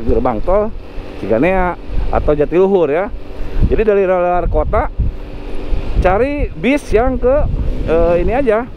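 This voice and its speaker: A man, chatting casually while riding a motorcycle through town, correcting himself and pointing out the route to viewers. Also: high-pitched. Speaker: motovlogger. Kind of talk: casual ride commentary. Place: riding a motorcycle on city streets outdoors. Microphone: helmet-mounted action camera mic. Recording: slightly dull sound with faint traffic noise.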